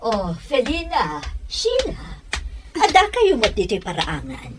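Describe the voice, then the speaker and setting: A woman's voice: a little high, huge pitch swings, slow, full character voice. An actress playing a welcoming middle-aged mother in a serial audio drama. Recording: faint noise with clicks.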